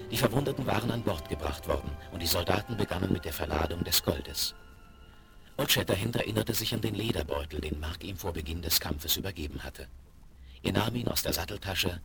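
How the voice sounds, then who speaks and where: A man's voice: low-pitched, gravelly. A male actor as a grave storyteller, narrating a 1970s German western radio play in a solemn, literary style.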